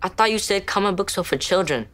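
A boy actor playing a laid-back, teasing child friend in a sitcom, talking in a casual, deadpan way. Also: high voice, quick pace. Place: comic book store.

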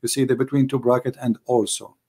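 A man's voice: mid-range voice, steady tone, fast, darkish tone, slightly rough. A man whose first language is Arabic, arguing emphatically in a call-in debate, repeating himself, with non-native grammar. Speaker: debate show host.

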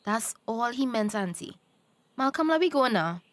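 An actress playing a gentle, placating young woman in love in a radio drama, with a Caribbean accent. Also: a little high, animated, normal speed, no echo, lightly acted.

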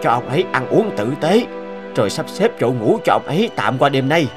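An actor playing a gentle, generous, wealthy middle-aged father in a cartoon. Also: mid-range voice, big pitch swings, brisk, lightly acted. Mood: kindly. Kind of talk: cartoon dubbing. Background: music.

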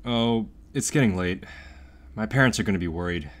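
A man voicing an eager, polite teenage newsboy in a fan audio drama, talking in a lively way. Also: lowish voice, moderate pace, close to an everyday voice.

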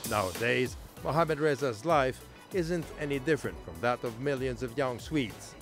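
Man narrating a news report over music. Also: mid-range voice. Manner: measured, even storytelling delivery. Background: music bed.

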